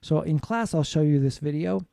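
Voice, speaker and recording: A man's voice: a little high, moderate pace, vocal fry, warm tone, dry recording, clean studio sound. A man from the United States giving a classroom lecture on phonetics, explaining steadily and often asking "right?" to check in with students. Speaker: English teacher.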